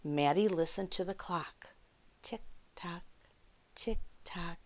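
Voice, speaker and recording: A woman reading a story aloud to children, expressive, with chatty asides and questions to her young listeners. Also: lowish voice, slow pace. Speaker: children's story time reader.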